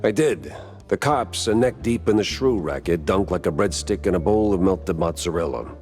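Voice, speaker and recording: A world-weary noir private detective from a cartoon game. His voice is extremely deep and his manner is dry and sardonic. Music plays clearly behind him. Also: expressive, normal speed, darkish tone. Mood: dry, deadpan. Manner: dry, sardonic, hard-boiled. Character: world-weary male mouse private detective, hard-boiled 1930s noir gumshoe. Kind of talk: film noir narration and dialogue.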